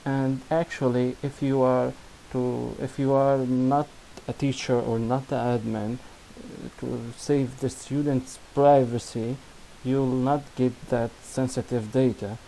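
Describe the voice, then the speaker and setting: Slow, halting Syrian Arabic-accented man. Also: medium pitch, flat delivery, vocal fry, warm tone, slight background noise, poor audio. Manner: halting, many restarts and fillers, non-native grammar. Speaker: student presenting a project. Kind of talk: screen-recorded software demo.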